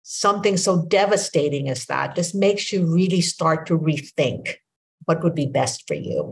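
A woman in her sixties with a Filipino accent, whose first language is Filipino, hosting a podcast and telling her story in a reflective, unhurried way. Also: lowish voice. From Philippines. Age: 60s. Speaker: podcast host. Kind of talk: casual conversation.

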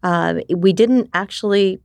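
A middle-aged woman from New York, whose first language was Japanese, telling her life story in a candid, animated way and often tagging on "right?". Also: medium pitch, unhurried, gravelly, no room sound. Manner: animated, frequent restarts and "right?" tags. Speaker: podcast guest.

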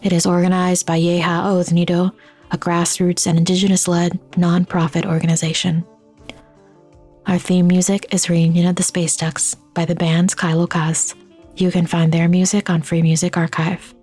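A woman hosting a podcast, reading scripted outro announcements and credits in a steady, even manner. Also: fairly low pitch, moderate pace, soft background music. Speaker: podcast host.

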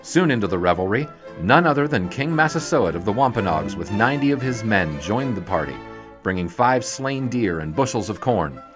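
Low-voiced wry sportswriter narrator. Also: male voice, sing-song, music in the background. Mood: grand storytelling. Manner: storytelling, wry, measured. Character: wry older sportswriter narrating memories of the 1920s. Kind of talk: scripted audio drama narration.

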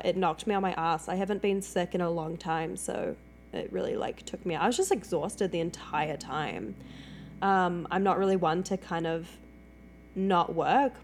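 A woman co-hosting a podcast, chatting in a relaxed, rambling way while she is still recovering from a cold. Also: lowish voice, a little hiss or hum. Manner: relaxed, rambling, swears casually. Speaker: podcast co-host. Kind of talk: casual chat.

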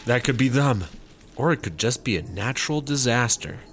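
A woman's voice: extremely low pitch, expressive, moderate pace, a little hiss or hum. A woman playing an exasperated, skeptical rancher turned reluctant startup worker in a comedy audio drama.

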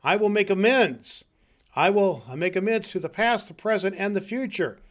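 A man's voice: high-pitched, animated, normal speed, a bit bright, pitched above the performer's own voice. A man voicing a giddy, overjoyed old reformed miser in an exuberant, exclamatory storybook reading. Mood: earnest, resolved.

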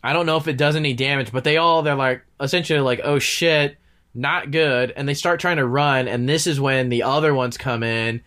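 A man's voice: medium pitch, fairly even intonation, a little fast, a bit bright. Male podcast co-host, congested, casual run-on recap delivery. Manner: rambling, recounts scenes in long run-on stretches. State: stuffy nose.

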